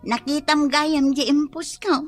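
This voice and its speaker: A woman's voice: high-pitched, steady tone, a little slow, faint music behind. A woman acting a playful young Filipino girlfriend with an Ilocano accent in a radio drama. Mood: teasing.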